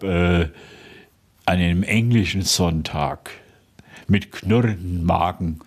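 Deep, husky older man reminiscing. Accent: Franconian. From Franconia, Germany. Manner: slow, thoughtful, frequent hesitations with "äh". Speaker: interview guest, dialect poet. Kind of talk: radio interview, reminiscing.

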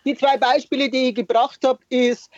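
A woman's voice: high voice, steady tone, moderate pace, a little mellow. A woman with a Bavarian accent chatting casually as a caller on a radio show. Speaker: radio call-in guest. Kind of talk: casual phone chat. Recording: dull, band-limited phone line.

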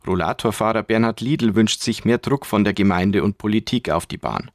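A man's voice: on the low side, normal speed, no echo, clean studio sound. A man narrating a scripted radio news report as a reporter.

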